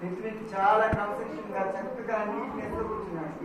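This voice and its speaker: High-pitched, fast-talking man hosting children. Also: fairly even intonation. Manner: challenging, questioning, teacherly. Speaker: children's Bible programme host. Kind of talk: interactive puppet show banter with children. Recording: very echoey, distant, loud background noise.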